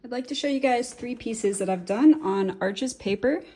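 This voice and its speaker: A woman's voice: on the high side, moderate pace, warm tone. A young woman from California with an American accent, an artist giving a friendly, conversational sponsored testimonial with occasional fillers.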